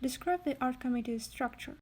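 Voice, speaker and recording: Woman interviewer, slightly high voice, plain question reading. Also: fairly quick, husky.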